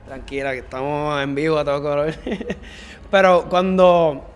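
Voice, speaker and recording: A man's voice: on the high side, unhurried, clean tone, faint music behind. A man with a Puerto Rican accent hosting a casual interview in an informal, slangy way with frequent interjections. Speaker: interview show host.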